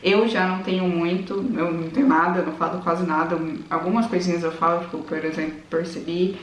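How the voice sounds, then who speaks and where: Low, flat-voiced Brazilian woman off-mic. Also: a little fast. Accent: Brazilian Portuguese. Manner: adds short prompts and comments. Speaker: vlogger co-host. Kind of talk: casual chat. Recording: echoey room, off-mic, faint noise.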